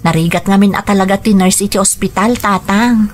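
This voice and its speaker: An actress with a northern Philippine accent playing a cheerful, dutiful young daughter in a radio drama. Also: female voice, medium pitch, brisk, close to an everyday voice. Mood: caring, earnest. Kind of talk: Ilocano radio drama acting.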